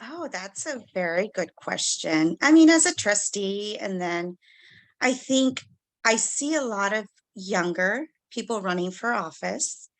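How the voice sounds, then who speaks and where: A woman, a school board trustee, telling stories as a podcast guest and laughing often. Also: medium pitch. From Texas. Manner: lively, laughs often, acts out dialogue. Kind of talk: conversational storytelling.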